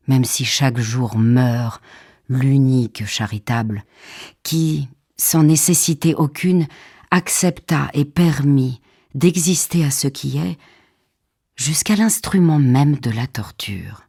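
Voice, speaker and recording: Female poetry reader for audiobook, breathy husky tone, unhurried solemn dramatic delivery. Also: a bass voice, warm tone. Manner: measured, solemn delivery with deliberate phrasing. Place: radio theatre recording studio.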